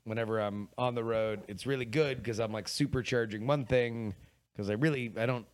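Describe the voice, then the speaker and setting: A man's voice: medium pitch, steady tone, brisk. A man co-hosting a casual chat podcast, rambling and trailing off.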